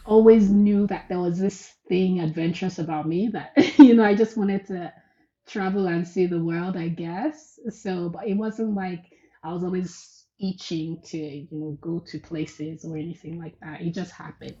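Laughing woman from Nigeria, mellow voice. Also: lowish voice, moderate pace, dull audio. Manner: laughs often, animated storytelling. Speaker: podcast guest. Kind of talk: casual interview chat.

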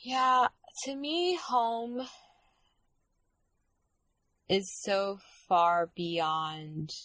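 A young woman speaking reflectively in casual conversation, with many pauses and restarts. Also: lowish voice, a bit bright, dry recording. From Dominican Republic.

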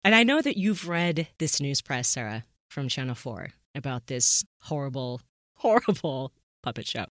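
A woman's voice: a bass voice, sing-song, normal speed, fairly bright tone, dry recording, clean studio sound. A woman hosting a podcast in a casual, chatty, amused and informal way. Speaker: podcast host.

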